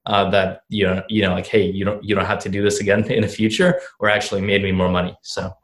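Casting: 19-year-old man from Russia, very deep and monotone, loose rambling interview delivery. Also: fast talker. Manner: rambling, heavy on fillers like "you know" and "right?". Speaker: guest entrepreneur. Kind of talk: casual interview answers.

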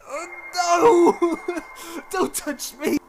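A man playing a self-loathing young prince in a melodramatic audio drama, halting and dramatic in delivery. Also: very high-pitched, steady tone, full character voice. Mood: panicked. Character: anguished young prince who believes himself a dangerous monster. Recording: voice under very loud music. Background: loud music.

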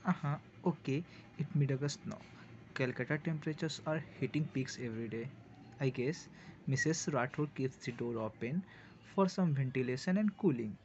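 A man's voice: mid-range voice, moderate pace, a little mellow, natural voice. A man playing a polite, sympathetic family acquaintance in an amateur radio drama, speaking formally and theatrically. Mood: casual curiosity. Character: Ajay, polite, sympathetic male family acquaintance with frail nerves. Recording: dull lo-fi audio with clear noise.

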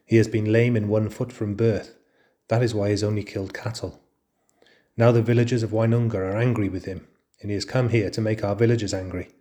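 A man voicing a calm, wise mother wolf who speaks quietly and dryly in a storybook reading. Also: on the low side, lightly acted. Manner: quiet, dry and measured.

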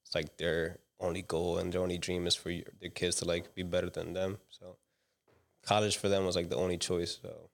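A young man from the Dominican Republic, a guest director talking casually about his path, relaxed and slangy with lots of fillers. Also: low-pitched, brisk, vocal fry. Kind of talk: casual interview talk.

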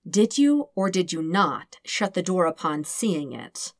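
A woman voicing a serious, measured woman who deadpans as she teases a friend in an audiobook story. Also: on the low side, sing-song. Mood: teasing. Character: serious, slow-spoken woman teasing her friend. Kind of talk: acted dialogue in fan fiction audiobook.

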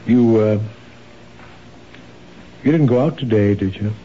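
An actor playing a kind, patient husband who gently questions his wife, in a 1970s radio drama. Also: on the low side, measured pace, a little hiss or hum, natural voice. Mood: gentle. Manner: gentle, reassuring, probing. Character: kind, patient husband, city office worker. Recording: dull old radio broadcast.

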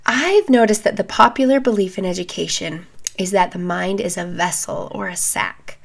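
A woman in her thirties hosts a podcast in a calm, measured way. Her voice is scratchy and gravelly from a recent illness. Her pitch sits in an ordinary middle range. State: hoarse, recovering from a respiratory illness. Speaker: podcast host. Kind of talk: scripted educational podcast talk.

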